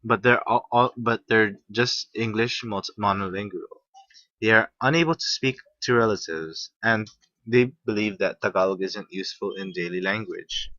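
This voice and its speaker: A young man from Hawaii reading a class presentation, halting at times with restarts. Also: lowish voice, sharp tone, dry recording. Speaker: student presenter. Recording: muffled, slightly lo-fi.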